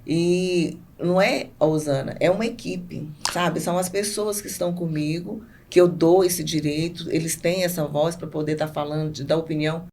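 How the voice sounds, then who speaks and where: A woman's voice: deep voice, steady tone, moderate pace, hardly any pauses, a little mellow. A Brazilian woman speaking conversationally and emphatically as an interview guest, often repeating herself for stress. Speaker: podcast guest, business owner. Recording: clear background noise.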